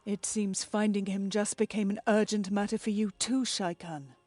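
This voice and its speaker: Mellow-voiced regal queen played by a woman. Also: mid-range voice, fairly even intonation, normal speed, no echo, faint music behind, lightly acted. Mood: composed, concerned. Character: regal queen, dignified, concerned for her embattled people. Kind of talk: dramatic video game voice acting.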